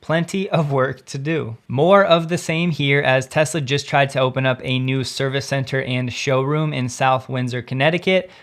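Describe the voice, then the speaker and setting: A man hosting a scripted news show, explaining things clearly with short personal asides. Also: mid-range voice, steady tone, moderate pace, hardly any pauses, a bit bright. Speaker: host of an electric car news show.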